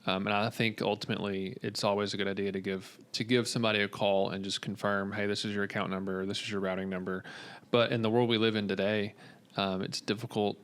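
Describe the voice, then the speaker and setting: A man in a casual podcast conversation, telling things in a halting, pausing way. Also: lowish voice, flat delivery, a bit bright, clean tone.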